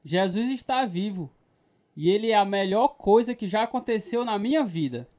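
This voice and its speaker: A man's voice: sits high, expressive, normal speed, darkish tone. An actor playing an earnest male new convert, telling his story in a Brazilian radio drama. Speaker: narrator and lead actor. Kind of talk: scripted radio drama narration and dialogue.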